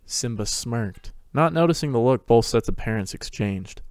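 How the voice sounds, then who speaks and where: A man's voice: medium pitch, lively intonation, moderate pace, darkish tone, no room sound. A man reading scripted third-person narration for a story.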